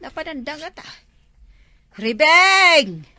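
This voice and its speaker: A woman playing an excitable, complaining household member in a comedy drama, with an Ilocano Filipino accent. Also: high-pitched, takes it slowly, heavily acted. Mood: grumbling.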